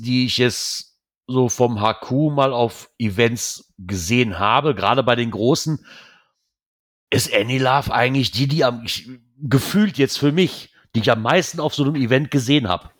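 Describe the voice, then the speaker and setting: A man from Germany talks his way through a casual podcast chat with friends. He rambles, trips over his words and corrects himself, then perks up when he jokes. His voice sits at an ordinary middle pitch. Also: a little slow. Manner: rambling, self-correcting, animated when joking. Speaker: podcast host.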